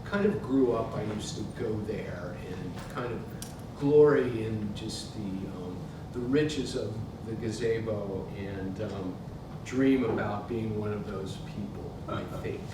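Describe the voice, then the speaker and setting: A man in his sixties with an American accent, giving a literary reading to a live audience. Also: slow pace. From Pittsburgh, United States. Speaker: author reading from his book. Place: indoor museum event space. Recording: very echoey hall, distant mic, noisy rumble.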